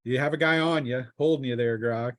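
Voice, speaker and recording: A man's voice: medium pitch, brisk, no echo. Dry close-miked man running a game. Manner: informal, jokey, exclaiming. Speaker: game master. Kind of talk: casual tabletop game narration.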